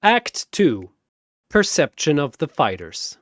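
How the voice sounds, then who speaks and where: A man narrating a scripted comic fantasy battle in a theatrical, deadpan manner. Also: fairly high pitch, slow pace, no echo, clean studio sound.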